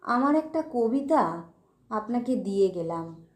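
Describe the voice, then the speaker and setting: Woman voicing a hushed, plaintive ghostly gentleman poet. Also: a little high, very animated, reverberant. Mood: resigned, secretive. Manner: slow, halting, polite. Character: melancholy, secretive ghostly gentleman poet with unfulfilled longings. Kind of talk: dramatic audio ghost story.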